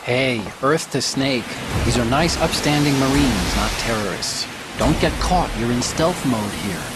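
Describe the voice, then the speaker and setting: An actor playing a young, fussy male computer hacker who talks quickly and a bit nervously while guiding a soldier. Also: medium pitch, animated, very noisy, close to an everyday voice. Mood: chiding. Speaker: mission support. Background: music and rain.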